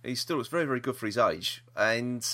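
A man's voice: medium pitch, animated, fairly quick, bright, forceful tone. A British man hosting a podcast in casual chat, hesitant and rambling, often repeating himself. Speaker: podcast host.

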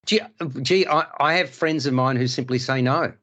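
A man's voice: mid-range voice, normal speed, a little hiss or hum. A male podcast host and film critic, chatting casually and reviewing films with many restarts. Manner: stammering restarts, animated.

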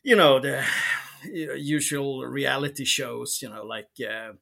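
Bright-voiced Swedish man, halting speaker. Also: mid-range voice, gravelly. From Sweden. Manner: halting, repeats words as searches for phrasing. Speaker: guest, television producer and researcher. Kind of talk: casual podcast interview.

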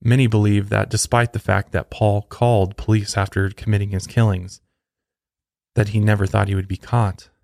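A man with a fairly low voice narrates true crime as a podcast host. The sound is close and dry, with very little room around him. He reads from a script, telling the story step by step. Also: flat delivery, normal speed, gravelly, darkish tone, no echo.

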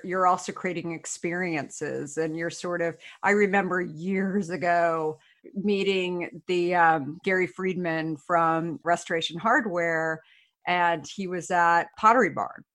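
Woman podcast host, lower-pitched, chatty and encouraging. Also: measured pace, fairly bright tone. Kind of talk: casual interview conversation.

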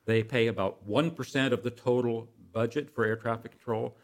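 An older American man speaking as an expert guest in an interview, explaining things in a halting way with self-corrections. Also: medium pitch, fairly even intonation.

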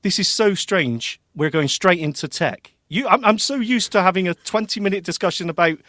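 A man's voice: high-pitched, lively intonation, normal speed, pushed and bright, no room sound, clean studio sound. Chatty laughing male podcast co-host. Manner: chatty, laughs often, goes off on tangents. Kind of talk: casual tech chat.

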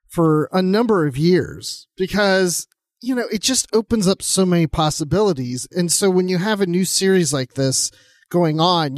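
Fairly high-pitched man in casual podcast discussion. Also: no echo. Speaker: podcast co-host.

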